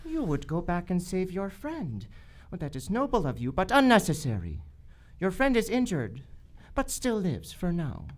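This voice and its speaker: A woman's voice: fairly low pitch, huge pitch swings, normal speed. A woman playing a solemn, menacing jungle priest in a radio adventure, speaking in formal, grandiose phrases. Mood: soothing, ominous. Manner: formal, grandiose, refers to self in third person. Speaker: actor in live radio theater. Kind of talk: melodramatic radio adventure acting. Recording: live stage recording with faint music.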